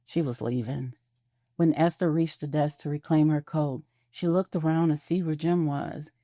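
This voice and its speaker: Low dark-voiced woman narrator. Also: fairly even intonation, no echo, studio quality. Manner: soft, measured storytelling. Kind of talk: scripted audio drama narration.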